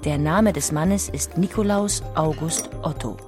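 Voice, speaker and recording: Lower-pitched female documentary narrator. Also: a little slow, music in the background. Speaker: audiobook narrator.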